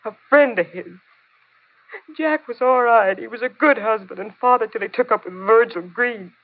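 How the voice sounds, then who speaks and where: A woman's voice: fairly high pitch, moderate pace, natural voice. An American woman acting a poor, abandoned mother in an old radio drama, weary and bitter, with an emotional, melodramatic delivery. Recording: tinny, narrow-band old radio recording.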